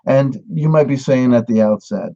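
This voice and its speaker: A man giving a satirical mock lesson, with a mock-earnest pitchman delivery. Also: normal speed, creaky, warm tone, muffled recording. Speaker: mock instructor. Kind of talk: satirical monologue.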